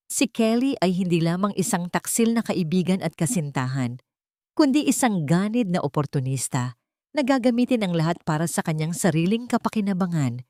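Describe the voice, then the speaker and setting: A woman's voice: lowish voice, darkish tone, no echo. Woman narrating a dramatic love story, dry sound.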